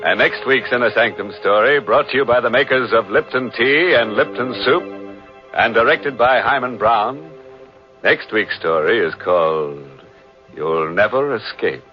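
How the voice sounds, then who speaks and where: A man's voice: mid-range voice, animated, measured pace. A man hosting a 1940s radio mystery show with wry, macabre, punning humour. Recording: muffled vintage radio, faint music.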